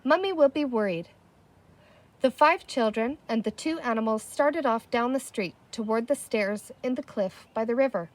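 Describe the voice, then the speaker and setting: A woman narrating a children's story aloud as an expressive audiobook reader. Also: fairly high pitch, moderate pace, few pauses.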